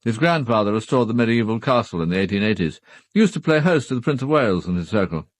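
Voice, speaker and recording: An actor playing a formal, upper-class British knight in a radio drama, speaking in a clipped, matter-of-fact way. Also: male voice, fairly low pitch, big pitch swings, quick pace, no echo. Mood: grave, explaining. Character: formal upper-class husband, a titled knight hiring a detective.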